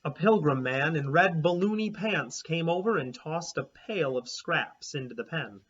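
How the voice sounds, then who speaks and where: A male teacher reading a children's chapter book aloud, expressive and making chatty asides to young listeners. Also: fairly high pitch, unhurried, husky. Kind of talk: children's read-aloud narration. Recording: slightly dull lo-fi recording.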